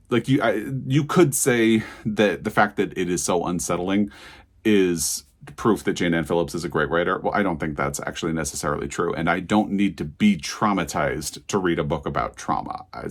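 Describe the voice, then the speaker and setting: A man talking casually and candidly to viewers as a book reviewer, stressing his opinions as he goes. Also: low voice. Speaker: book reviewer and video host.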